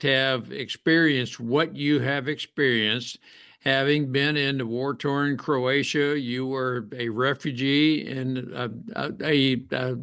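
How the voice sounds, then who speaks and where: Slow, deliberate male podcast host. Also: medium pitch, bright, forceful tone. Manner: slow, deliberate questions with many fillers. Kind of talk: interview.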